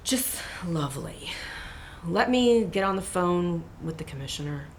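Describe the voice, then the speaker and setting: Woman acting as gruff female police boss, sarcastic, commanding, lowish voice, faint noise behind. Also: lively intonation, measured pace. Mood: weary sarcasm. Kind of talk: audio drama acting.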